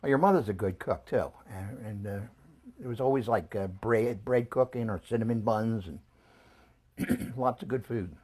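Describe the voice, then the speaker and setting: An older man being interviewed about his family, talking in a halting, casual way with frequent restarts and chuckles, his voice growing hoarse. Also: fairly low pitch, darkish tone. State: losing his voice. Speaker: interviewee recalling family history.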